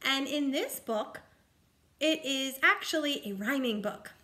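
A woman teacher reads a children's book aloud in a fairly high voice. She takes her time, speaking very slowly with an expressive, sing-song delivery. She puts simple questions to her young listeners.

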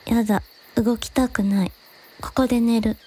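A woman's voice: on the high side, fairly even intonation, normal speed, a little mellow, husky. A woman playing a sleepy, childlike yet bossy young woman who gives quaint orders in a radio drama. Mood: drowsy.

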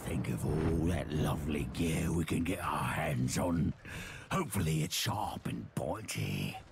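An actor playing a sly, bloodthirsty orc commander in a video game, with a gravelly voice and a menacing, drawn-out delivery. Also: male voice, extremely low pitch, lively intonation, heavy background noise, full character voice. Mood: gleeful menace. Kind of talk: video game character acting. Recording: music behind the voice.

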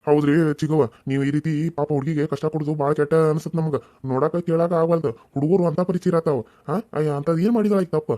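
A man's voice: fairly high pitch, fairly even intonation, quick pace, hardly any pauses, mellow, natural voice. A man playing a concerned, reproachful neighbour in a family melodrama, with a North Karnataka Kannada accent. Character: concerned, reproachful male neighbour defending a mistreated woman.

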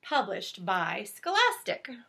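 A middle-aged woman reading a picture book aloud to children as a teacher, expressive and deliberate, with drawn-out emphasis. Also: medium pitch, gravelly. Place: small home bedroom, indoors.

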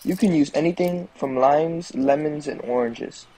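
A young man narrating step-by-step food preparation instructions in a measured, explanatory way. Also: fairly high pitch, mellow, poor audio. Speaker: fishing show host.

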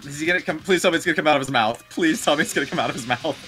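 A man's voice: fairly high pitch, very fast, sharp tone, faint music behind. A man in casual group banter during a game fan dub, joking and blunt, swearing freely.

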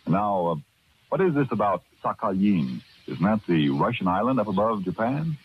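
A man's voice: on the low side, sing-song, moderate pace, husky. A man with an American accent announcing in the polished style of 1940s radio. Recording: dull, muffled 1940s radio recording.

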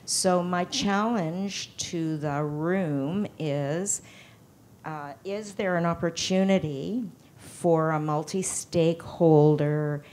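A woman's voice: low-pitched, takes it slowly, a little mellow. A woman making a rambling, wry challenge to the room at a conference forum. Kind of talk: audience floor comment.

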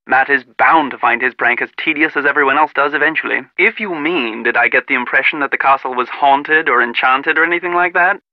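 A man's voice: medium pitch, brisk, hardly any pauses, no room sound, lo-fi sound, close to an everyday voice. An actor playing a prim, irritable Englishman in a video game, speaking in precise, clipped, pompous phrases with a British accent. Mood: haughty, aggrieved. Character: prim, irritable young Englishman, disdainful of pranks. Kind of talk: video game character dialogue.

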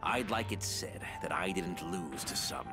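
A man's voice: sits low, expressive, unhurried, over loud music. A man voicing a bitter, defeated villain in a video game, grave and theatrical. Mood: bitter, resigned. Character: defeated brooding male villain, bitter former developer. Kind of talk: dramatic video game voice acting.

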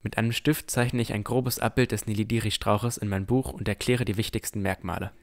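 A man as a low-voiced, cautious young explorer narrator. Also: fairly even intonation, fairly quick, lightly acted. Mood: calm narrating. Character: cautious, thoughtful young male explorer narrating his adventure. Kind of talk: first-person scripted narration in fantasy audio drama.

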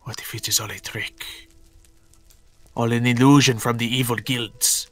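A man's voice: mid-range voice, fairly even intonation, normal speed, no echo, soft background music, close to an everyday voice. A man playing an anxious, suspicious guild mentor in a game roleplay, with hesitant, formal, theatrical phrasing. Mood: suspicious, urgent. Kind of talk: improvised Minecraft roleplay acting.

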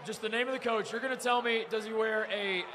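A man's voice: sits high, steady tone, fast talker. A man in his thirties co-hosting a casual sports chat, laid-back and joking, repeating his punchlines. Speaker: co-host. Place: crowded bar, indoors. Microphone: handheld mic. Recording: live bar crowd and noise behind.

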